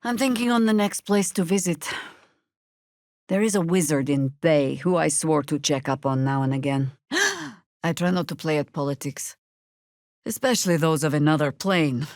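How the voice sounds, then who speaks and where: Casting: middle-aged female druid warrior, fantasy game. Wry, battle-seasoned, authoritative, lowish register, dry studio sound. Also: a little mellow, no room sound. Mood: calm, resolute. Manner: dry, sardonic, measured. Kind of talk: fantasy video game voice acting.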